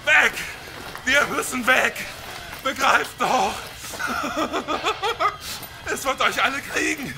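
Frantic, dying spaceship survivor. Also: male voice, extremely high pitch, takes it slowly, heavily acted. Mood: frantic terror. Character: crazed, badly wounded, terrified male spaceship survivor. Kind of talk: sci-fi horror audio drama acting. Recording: music under the voice.

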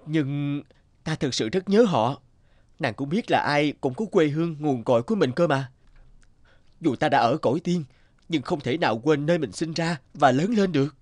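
An actor playing an earnest, polite and hesitant young scholar in an animated folktale, homesick for his village. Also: on the high side, moderate pace, no room sound, clean studio sound, lightly acted. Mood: homesick, pleading.

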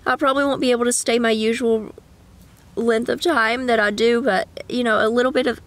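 Young girl w a cough, kinda high voice, rambly car vlog chat into her phone cam. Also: steady tone, a little fast. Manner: rambling, chatty, with sing-song asides. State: sick with a cough. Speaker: vlogger. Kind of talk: casual chat. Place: parked car. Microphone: camera at arm's length.

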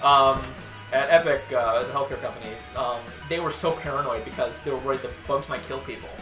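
Young man, fast, rambling co-host with casual swearing, roomy sound with game music underneath. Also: medium pitch. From Wisconsin, USA. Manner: rambling storyteller, swears freely, restarts often. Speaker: co-host of a gaming show. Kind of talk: casual commentary chat over gameplay.

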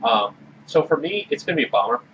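Podcast host, male, measured and easygoing, dull recording with a light hiss. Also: mid-range voice, very animated, recorded from a distance. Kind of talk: casual beer-tasting chat. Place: small room with orange walls. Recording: dull sound with faint hiss.

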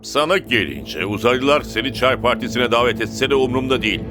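A man's voice: medium pitch, lively intonation, fairly quick, noisy background, natural voice. A man voicing a stern, skeptical football coach in a cartoon dub, sardonic and commanding. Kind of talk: dubbed cartoon acting.

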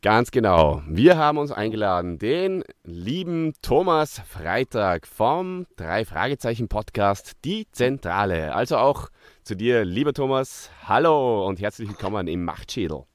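Low-voiced man hosting, with a cold. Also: big pitch swings, unhurried, dry recording, clean studio sound. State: has a cold. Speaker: podcast host. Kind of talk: casual podcast chat.

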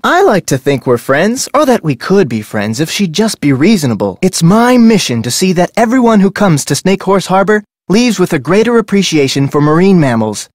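A man's voice: high voice, no room sound, clean studio sound. An actor voicing a friendly, salesman-like tour business owner in a video game, breezy and folksy in manner. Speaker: whale-watch tour operator.

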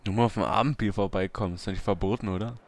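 A man's voice: lowish voice, steady tone, racing, lightly acted. A man playing a coarse, easygoing off-duty camp worker in an amateur horror audio drama, talking naturally and slangily. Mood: laid-back.

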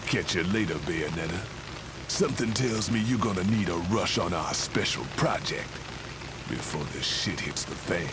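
A man playing a gruff, unflappable underworld barkeeper in a video game, with a wry, folksy tough-guy way of talking. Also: sits low, expressive, normal speed, background noise. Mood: wry, threatening. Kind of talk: video game cutscene acting. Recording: game audio under loud music.

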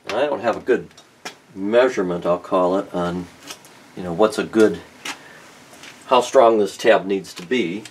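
A man's voice: sits low, a little slow, long pauses, a little mellow, a little hiss or hum. A middle-aged man narrating a repair at his workbench in a casual, thinking-aloud way with many ums and restarts. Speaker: car restoration vlogger. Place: home workshop at a workbench.

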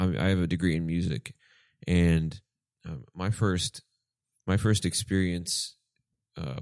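Deep-voiced young man, dry close mic. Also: a little mellow, no room sound. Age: 20s. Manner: thoughtful, explanatory, with restarts. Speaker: podcast co-host. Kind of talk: casual podcast chat, storytelling.

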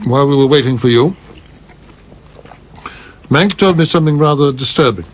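A man playing a courteous, fussy theatrical producer in a radio play, formal, measured and quietly disapproving. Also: mid-range voice, lightly acted. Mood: dry, reproving.

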